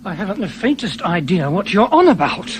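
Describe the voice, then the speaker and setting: A flustered male camper, high-pitched, swooping incredulous delivery, plain-spoken, radio drama. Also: big pitch swings, brisk. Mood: exasperated, puzzled. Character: bewildered, helpful male weekend camper who acts on radio. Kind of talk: radio play acting. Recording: noisy 1970s radio drama recording.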